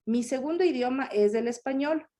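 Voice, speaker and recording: A woman who gives voice to a signed lecture over a video call. Her voice sits high but sounds soft and mellow. She explains in short phrases and keeps coming back to the same points. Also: normal speed. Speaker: sign language voice interpreter. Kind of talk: live voicing of a signed talk.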